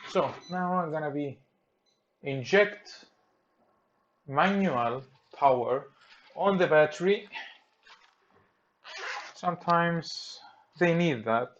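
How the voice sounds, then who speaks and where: A man with a Greek accent, speaking English as a second language, walks through a repair and thinks aloud in halting, simple sentences. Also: fairly high pitch, animated, a bit bright, dull audio, slight background noise. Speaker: repair technician. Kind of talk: hands-on repair tutorial. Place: workbench.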